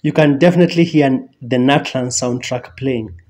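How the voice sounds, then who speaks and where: A man's voice: medium pitch, normal speed, darkish tone, faint music behind. A man in his thirties with a Kenyan accent, chatting casually as a reaction host, easygoing and chuckling. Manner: easygoing, gestures a lot, chuckles. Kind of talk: casual chat. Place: small indoor room. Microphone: headphones and desk mic.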